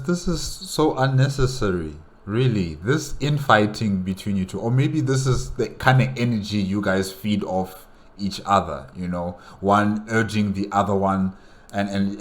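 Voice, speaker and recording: A man's voice: lowish voice, moderate pace. A man hosting a reaction video, thinking aloud in casual solo commentary.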